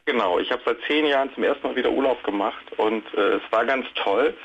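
A man's voice: mid-range voice, fairly quick, vocal fry. A man in his late thirties calling a radio show, speaking casually in a reflective, conciliatory way over a dull phone line with noise. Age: late 30s.

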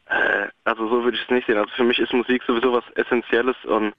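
A man's voice: medium pitch, level tone, quick pace, dry recording, narrow, tinny audio. A male caller on a radio show, talking casually and hesitantly with slang. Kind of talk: phone-in chat.

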